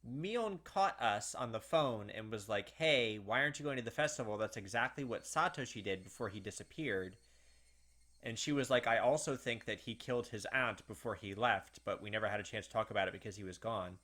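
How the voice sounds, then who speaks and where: A man hosting a let's-play, switching between reading story text aloud and animated, puzzled commentary on it. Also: lowish voice, fairly quick. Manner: animated, puzzled reactions and speculation, mimicking characters. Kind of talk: gameplay commentary mixed with reading visual novel text aloud. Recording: music playing behind the voice. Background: game background music.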